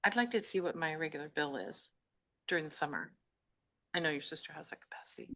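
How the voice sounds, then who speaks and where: A woman council member with an emphatic, forceful way of talking, pressing points in a council workshop. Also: sits low, expressive, fast. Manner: forceful, emphatic, self-described big voice.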